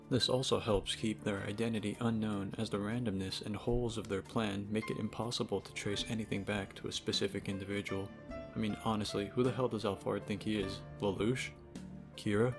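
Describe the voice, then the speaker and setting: Low-voiced sarcastic man with music behind. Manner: sarcastic, profane, informal. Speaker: video game reviewer. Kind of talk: casual opinionated video essay commentary. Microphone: cheap microphone.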